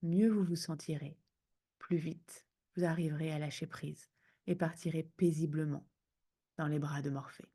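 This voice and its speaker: A woman guiding a sleep meditation in a soothing, hushed manner, speaking in unhurried phrases with pauses. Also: low voice, fairly even intonation. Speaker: yoga nidra guide.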